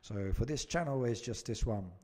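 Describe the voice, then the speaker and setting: A man's voice: mid-range voice, normal speed, a little mellow. A man hosting a casual gaming live stream, chattily answering viewers and repeating his phrases as he goes. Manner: chatty, repeats phrases, moves between chat and game. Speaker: live stream host, gamer.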